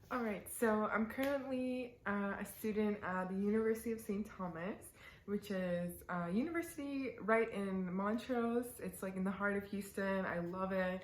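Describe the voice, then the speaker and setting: A woman's voice: medium pitch, unhurried, slight background noise. Chatty young woman vlogging in an echoey room. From born in the UAE, raised in Canada. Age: 20s. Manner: chatty, giggly, lots of fillers. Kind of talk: casual Q&A talking to camera. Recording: roomy echo.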